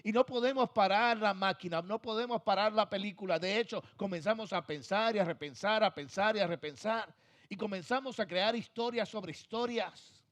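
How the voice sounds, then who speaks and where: A man with a Puerto Rican accent preaching a sermon as pastor, pushing phrases hard and then letting them fall soft. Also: high voice, moderate pace. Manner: emphatic preaching, swings from loud to soft trailing phrases.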